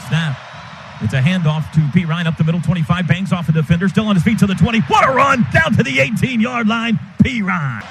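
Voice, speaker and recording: High-pitched man calling football live. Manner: excited, clipped rapid-fire calls. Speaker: radio play-by-play announcer. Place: stadium radio booth. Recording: noisy stadium broadcast booth.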